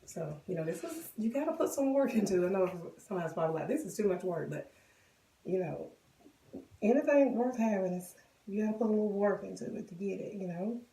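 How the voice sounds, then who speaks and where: A woman with a cold and a hoarse voice, chatting casually to viewers as she walks them through a wig styling tutorial. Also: mid-range voice, animated, a little fast, warm tone, recorded from a distance, a little hiss or hum. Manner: chatty, addresses viewers directly. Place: bathroom. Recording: echoey bathroom, voice off-mic.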